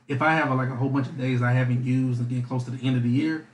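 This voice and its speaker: A man's voice: medium pitch, racing, echoey room. A young man co-hosting a podcast, talking bluntly in casual slang. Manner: flat, blunt, slangy, profane. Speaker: podcast co-host. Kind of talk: casual chat.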